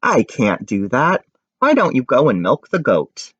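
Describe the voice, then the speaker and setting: A man voicing a wise, kindly old village witch in a picture book, gentle and reassuring. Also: medium pitch, wildly swooping pitch, unhurried, breathy edge, full character voice. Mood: gentle refusal. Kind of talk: children's picture-book character voice. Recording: dull, roomy home recording.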